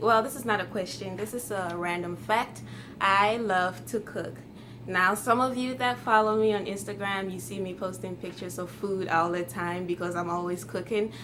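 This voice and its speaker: A woman's voice: mid-range voice, noisy background. A woman in her twenties from Ghana, whose first language is Twi, chatting to her viewers in a friendly, casual way. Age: 20s. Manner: friendly, chatty, light laughs. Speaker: YouTuber. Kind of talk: casual talk to camera.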